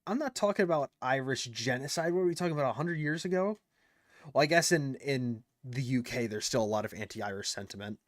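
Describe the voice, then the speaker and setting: A man's voice: mid-range voice, animated, fairly quick, no echo, studio quality. A man commenting on clips in a casual, indignant way, thinking aloud with lots of fillers and restarts. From United States.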